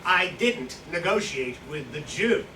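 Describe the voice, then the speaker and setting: An actor playing a boastful, hard-drinking Slovak profiteer who styles himself an outlaw, rambling and justifying himself in a staged reading. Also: male voice, a little high, lively intonation, unhurried, sharp tone. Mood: smug, rambling. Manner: rambling, boastful, self-justifying, loses his thread. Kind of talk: staged play reading, monologue-like recollection. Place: indoor staged reading, distant microphone. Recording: echoey, noisy room recorded from far off.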